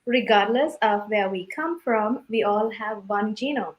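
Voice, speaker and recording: A woman's voice: medium pitch, a little slow. A woman scientist speaking as a panel guest, giving a prepared, explanatory self-introduction.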